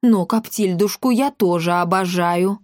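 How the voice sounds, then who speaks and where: A woman's voice: on the low side, measured pace, a little mellow, no echo, clean studio sound, close to an everyday voice. Woman voicing a giant, meek mama's-boy gendarme. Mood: meek pleading. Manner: meek, pleading, placating.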